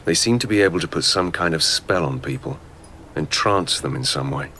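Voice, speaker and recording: A lieutenant investigator with an extremely deep voice. He questions in a measured, matter-of-fact way, in radio play style over faint background ambience. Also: animated, sharp tone, a little hiss or hum, exaggerated voice. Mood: reflective. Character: measured, probing male lieutenant investigating at the imperial court. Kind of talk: radio drama acting.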